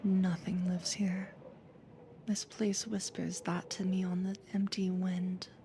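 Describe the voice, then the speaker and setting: A woman playing a calm, quietly controlling therapist in a slow, breathy, whispered ASMR hypnosis roleplay, soothing yet ominous. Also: fairly low pitch, slight background noise. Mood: hushed, eerie.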